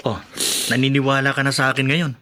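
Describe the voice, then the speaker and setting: A man's voice: medium pitch, flat delivery, fast talker, sharp tone, close to an everyday voice. A man playing a patient, hopeful young restaurant worker in a radio drama, reassuring and persuasive. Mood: casual, questioning. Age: young adult. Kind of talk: Filipino radio drama acting.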